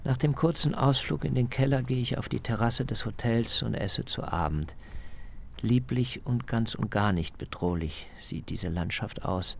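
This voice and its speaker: A man reading scripted first-person documentary narration in a measured, descriptive storytelling manner. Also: medium pitch, gravelly, slight background noise. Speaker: radio feature author and narrator.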